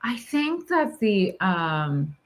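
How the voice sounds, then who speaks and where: A woman's voice: mid-range voice, very animated, very slow. A woman talking reflectively in conversation, hesitant and searching for her words. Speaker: podcast host.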